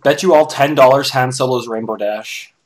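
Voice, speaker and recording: A man's voice: mid-range voice, normal speed, a little hiss or hum. A man doing a casual dramatic reading of fan fiction, with joking asides.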